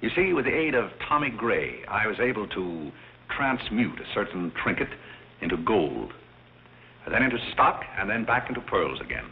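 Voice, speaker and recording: Courteous, composed butler, 1930s screen comedy, deep and measured, narrow hissy old soundtrack. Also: male voice, very animated, gravelly. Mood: gracious. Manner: polite, measured, understated. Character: courteous, composed, wise butler of privileged birth. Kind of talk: 1930s film comedy acting.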